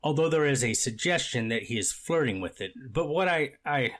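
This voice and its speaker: A man acting as a skeptical male judge presiding over a hearing. His mid-range voice is formal and deliberate, correcting itself as he reasons in a courtroom reading. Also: mid-range voice, animated, fairly bright tone, close to an everyday voice. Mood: musing, skeptical. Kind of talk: readers theater of a court transcript.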